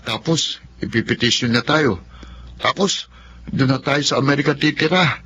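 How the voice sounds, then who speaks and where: A man's voice: medium pitch, normal speed, pushed and bright, highs cut off, a little hiss or hum, natural voice. A man playing a naive, dreamy son in a family drama, with a Filipino accent. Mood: dreamy, excited.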